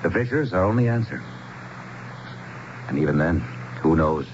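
An actor playing a grave but hopeful small-town mayor in a 1970s radio drama, deliberate and earnest. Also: male voice, sits low, lively intonation. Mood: calm, earnest. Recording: muffled noisy old radio broadcast.

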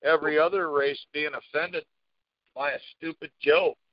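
A man's voice: on the high side, fairly even intonation, normal speed, noisy background. A man co-hosting a podcast in casual chat, blunt and profane. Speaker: podcast co-host. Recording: dull, dry lo-fi audio.

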